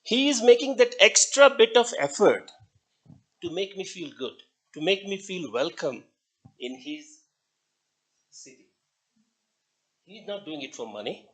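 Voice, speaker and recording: A man's voice: sits high, very animated, measured pace, pauses often. A middle-aged man with an Indian English accent, a native Hindi speaker, giving a classroom lecture, telling stories and putting questions to the class with small chuckles. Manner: asks the class questions, chuckles between points.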